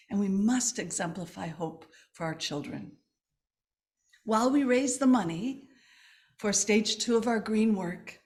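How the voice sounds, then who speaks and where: Woman church speaker, slow and earnest, somewhat high voice. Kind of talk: prepared talk.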